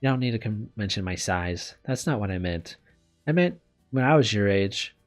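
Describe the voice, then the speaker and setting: A man's voice: on the low side, animated, normal speed, soft background music, close to an everyday voice. A man voicing a small, weary, caring woman teacher from a visual novel, subdued and reflective. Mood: gently embarrassed.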